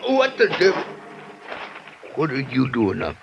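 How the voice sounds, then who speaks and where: An actor playing a flustered, evasive old rural camp keeper in a radio drama, gravelly, folksy and hesitant. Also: male voice, mid-range voice, wildly swooping pitch, a little slow, exaggerated voice. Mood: alarmed shouting. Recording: dull, noisy vintage radio recording.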